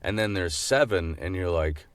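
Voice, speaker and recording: A man co-hosting a podcast with casual, joking banter and an animated way of talking. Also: sits low, moderate pace, no echo. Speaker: podcast co-host.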